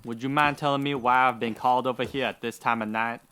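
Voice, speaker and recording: Western radio villain male actor: mid-pitched, greedy land baron, haughty, clipped contempt and cold self-importance. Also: steady tone, fast, bright, forceful tone, a little hiss or hum, lightly acted. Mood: smug, cold. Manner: pompous, cold, indignant. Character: greedy, haughty Old West land grabber. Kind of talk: old-time radio western villain acting.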